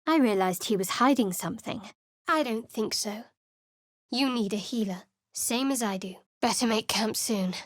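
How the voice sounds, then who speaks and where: Actress for a guarded female cleric, wry and cool, slightly high voice, dry studio read. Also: normal speed, no room sound, lightly acted. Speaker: game character. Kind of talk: video game voice acting. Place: studio booth. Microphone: studio mic.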